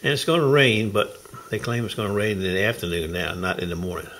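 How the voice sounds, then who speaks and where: A man's voice: lowish voice, expressive, fast talker, a bit bright. A middle-aged man, chatting folksily in a casual video diary from his car and home, recorded on a handheld camera. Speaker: knife sharpener vlogger.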